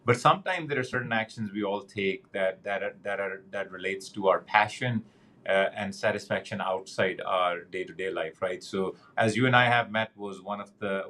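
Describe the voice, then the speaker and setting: Earnest, halting middle-aged man, low voice. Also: fairly bright tone. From Pakistan. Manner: halting, many fillers and restarts, earnest. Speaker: guest, banking executive. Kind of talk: conversational interview.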